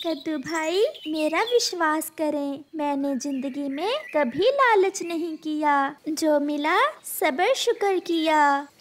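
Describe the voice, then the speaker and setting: A woman's voice: high voice, animated, slow, hardly any pauses, a little hiss or hum. A woman voicing a sensible, sincere mother bird in a children's cartoon. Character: kindly, sensible mother bird, honest and contented. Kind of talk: children's cartoon story acting.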